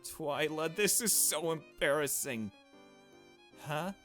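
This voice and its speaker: A man's voice: a little high, slow pace, a bit bright, soft background music, pitched above the performer's own voice. A man voicing an awkward, easily embarrassed young visual novel protagonist, hesitant and self-conscious.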